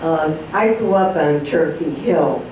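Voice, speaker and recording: An older woman from the audience recalling where she grew up, with halting uhs. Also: low voice, lively intonation, darkish tone. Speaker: audience member sharing memories. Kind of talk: casual recollection. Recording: echoey hall, distant mic, noisy.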